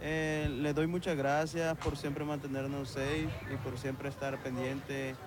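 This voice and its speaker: Honduran man in a car, soft polite street interview. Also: medium pitch, completely flat delivery, unhurried, a crowd in the background. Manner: quiet, polite, hesitant. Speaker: passerby.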